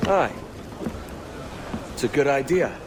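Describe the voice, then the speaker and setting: A roguish young pirate captain in a game cutscene, ambitious and wry. His mid-pitched voice comes through loud outdoor noise of the sea. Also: animated, slow pace. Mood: thoughtful. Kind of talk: video game cutscene acting.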